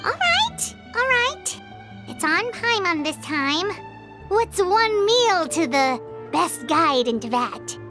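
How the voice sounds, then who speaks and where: A woman's voice: extremely high pitch, big pitch swings, slow, exaggerated voice. A woman voicing a tiny chirpy female fairy guide in a video game, talking about herself in third person. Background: game music.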